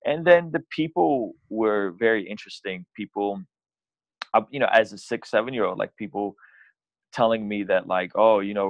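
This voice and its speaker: A man's voice: fairly low pitch, no echo, lo-fi sound. A young man from Azerbaijan, a guest on a podcast, talking casually and rambling with lots of "you know" and "like.". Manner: rambling, restarts often, leans on "you know" and "like". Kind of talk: casual interview chat.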